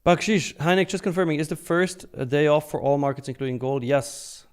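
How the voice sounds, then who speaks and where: A man's voice: on the high side, brisk, smooth. A man hosting a live trading stream, chatting casually and informally as he comments and answers viewers, joking and swearing freely. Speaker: live stream host, futures trader.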